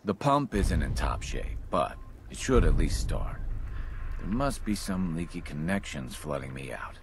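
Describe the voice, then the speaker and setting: An actor playing a gruff middle-aged settler who is impatient and brusque with a stranger. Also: male voice, extremely low pitch, animated, a little slow, exaggerated voice. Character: gruff middle-aged male settler working a water pump. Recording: game audio from a distance with noise.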